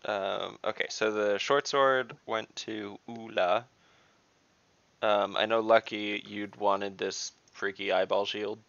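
A man with a low, gravelly voice that has a bright edge chats casually as a player in an online tabletop game. The recording is close and dry, though somewhat dull like call audio. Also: steady tone, takes it slowly, no room sound. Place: video call from home.

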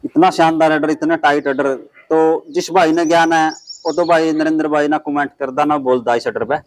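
A man, hosting a dairy farm walkthrough outdoors and briskly affirming with short agreements. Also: fairly high pitch, steady tone. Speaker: dairy video host. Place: outdoor farmyard.

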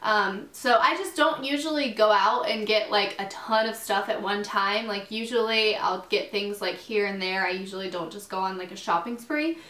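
A woman's voice: medium pitch, normal speed, few pauses, a bit bright, echoey room. A young woman vlogging to camera from her bedroom, chatty and upbeat, full of fillers, still getting over a cold. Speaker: fashion vlogger. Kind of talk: casual haul vlog to camera. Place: small bedroom, indoors.

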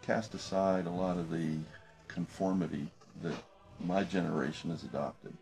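A man in late middle age, a business professor who grew up speaking French, talking thoughtfully in a documentary interview in his office, with many pauses and restarts. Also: low-pitched, vocal fry, darkish tone, soft background music. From United States. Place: office with desk and window.

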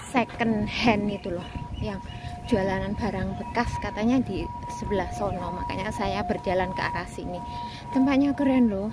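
A friendly Javanese woman in her thirties, chatting to her viewers as she walks. She talks into a phone held at arm's length outdoors, with clear traffic and street noise behind her. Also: medium pitch. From Indonesia. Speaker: vlogger, migrant domestic worker. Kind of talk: casual vlog chat to viewers. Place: outdoors by a city street and park.

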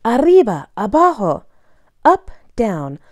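A woman reading a children's picture book aloud in a bilingual read-aloud style, expressively and deliberately, phrase by phrase. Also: on the high side, huge pitch swings, dry recording, clean studio sound.

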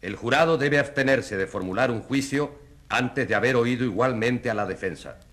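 An actor playing a formal, impartial tribunal judge in a radio drama, speaking solemnly. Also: male voice, mid-range voice, moderate pace, noisy background, close to an everyday voice. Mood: solemn, admonishing. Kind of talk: dramatic radio play acting.

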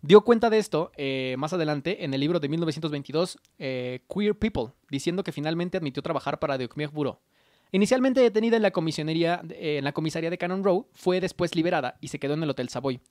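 A man's voice: a little high, animated, fast, a little mellow, no echo. A young man with a Mexican accent, reading history notes on a podcast and dropping slangy asides, recorded on a desk mic in a small studio. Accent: Mexican Spanish. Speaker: podcast host telling the story. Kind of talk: casual history podcast narration. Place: small home studio with colorful shelves. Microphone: desk mic on arm, headphones.

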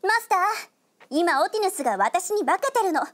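An actress playing a proud, prickly young heroine who is easily flustered, in an anime radio drama. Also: female voice, extremely high pitch, big pitch swings, a little fast. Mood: haughty. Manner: haughty, tsundere.

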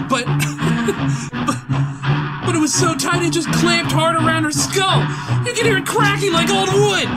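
Gleefully cruel comic maniac, high-pitched, frantic and theatrical, over music. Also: male voice, heavily acted. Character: gleeful sadistic maniac recounting torture. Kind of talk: dramatic comic-book dub.

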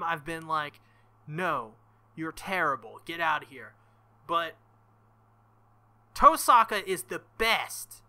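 A young man hosting a visual novel playthrough, reading narration and commenting in an excitable, joking, profane way. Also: sits high. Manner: excitable, swings to loud outbursts. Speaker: let's-play host.

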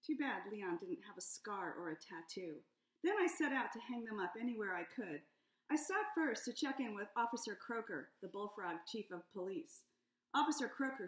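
A middle-aged woman reading her own children's picture book aloud at home, in a playful, expressive detective-story style. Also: medium pitch. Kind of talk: storybook read-aloud narration. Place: home room with high ceiling. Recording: echoey room.

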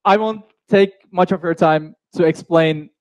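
A young man hosts an online coding class over a video call. His voice is slightly raised in pitch, and the sound is close and dry. He talks in a chatty way, restarting his sentences. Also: a little slow, a little mellow, no echo. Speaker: course host. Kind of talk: live online lecture.

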